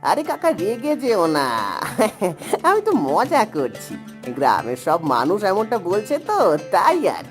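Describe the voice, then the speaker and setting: A man's voice: high-pitched, huge pitch swings, unhurried, full character voice. Man doing a swooping, wheedling village shopkeeper. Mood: placating. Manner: ingratiating, exaggerated. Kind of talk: Bengali cartoon voice acting. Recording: music bed behind the voice.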